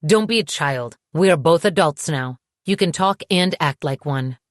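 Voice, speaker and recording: A woman voicing a calm, confident, patronizing man in an audiobook, smooth and condescending. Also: sits low, moderate pace, no echo, clean studio sound.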